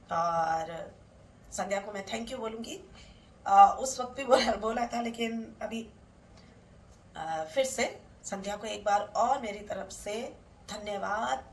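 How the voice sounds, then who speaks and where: Middle-aged woman vlogging at home, sore throat, a bit high voice, roomy far-off phone sound. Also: vocal fry, slight background noise. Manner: chatty, homely, addresses viewers as friends. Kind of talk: casual home vlog talk to viewers. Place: at home, indoors. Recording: roomy sound from a distant mic.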